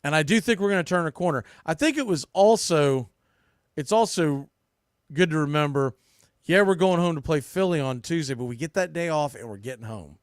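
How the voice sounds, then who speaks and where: A man's voice: fairly high pitch, lively intonation, a little fast, smooth, no echo, studio quality. A man hosting a sports podcast, giving casual, opinionated commentary in an informal, emphatic way.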